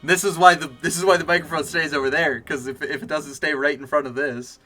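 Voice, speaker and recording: A man streaming who deliberately talks in a higher, feminized voice, rambling, swearing and laughing as he chats and tells a joke into a cheap desk mic. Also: fairly even intonation, pushed and bright, soft background music. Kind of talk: casual stream chat and joke telling. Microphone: cheap desk mic with poor pop filter.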